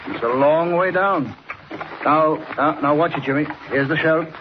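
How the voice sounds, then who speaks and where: A man's voice: on the high side, unhurried, lightly acted. Cautious trail guide played by a man, old radio. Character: steady, cautious male mountain trail guide. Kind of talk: 1940s radio acting. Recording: noisy, dull old 1940s radio recording.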